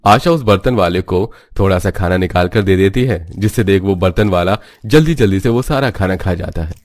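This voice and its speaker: A man's voice: low-pitched, fairly quick, darkish tone, no echo, clean studio sound. A man narrating a scripted cartoon story as the storyteller.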